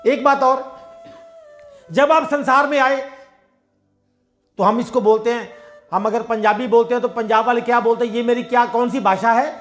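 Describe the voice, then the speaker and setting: A man's voice: very high voice, steady tone, normal speed, long pauses, fairly bright tone, soft background music. A man giving a devotional sermon, telling everyday parables and acting out the dialogue in a conversational way. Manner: conversational storytelling, acts out dialogue in his own voice. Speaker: satsang preacher.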